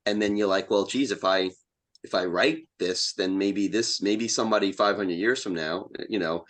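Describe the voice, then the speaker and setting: A man's voice: fairly low pitch, normal speed, fairly bright tone. A man speaking as an interview guest in a casual, rambling and enthusiastic way. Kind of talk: casual chat.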